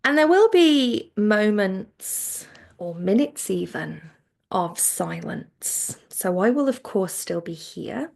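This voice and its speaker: Woman, relaxation teacher, very slow pace, noticeable vocal fry, gentle soothing instruction, soft phrase endings. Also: mid-range voice, very animated. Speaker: yoga nidra relaxation teacher. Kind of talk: guided relaxation meditation.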